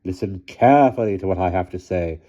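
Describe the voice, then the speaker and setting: A man's voice: deep voice, a little fast, dark tone, lo-fi sound. Man voicing a stern, defiant storybook aunt. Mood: stern, pleading. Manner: firm, declamatory. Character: bold, stern, defiant aunt addressing an angry mob.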